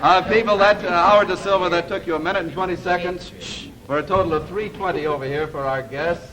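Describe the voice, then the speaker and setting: Guy hosting an old tv game show, highish voice, loud studio crowd behind him. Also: fairly even intonation, fast, low-quality recording. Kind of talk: live panel game show patter. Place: television studio with audience.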